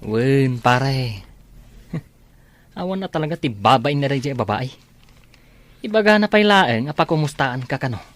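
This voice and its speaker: A man's voice: mid-range voice, animated, measured pace, pauses often, a bit bright, no echo, faint music behind. A man playing a sociable, teasing young friend in a radio drama. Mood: gossipy. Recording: outdoor crowd and faint noise.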